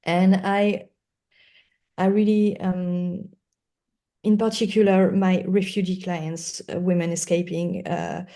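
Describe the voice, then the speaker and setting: A woman who is not a native English speaker, talking as a podcast guest in an earnest, halting way with emphatic repetition. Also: lowish voice, level tone, mellow. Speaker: guest lawyer. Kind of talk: conversational podcast interview.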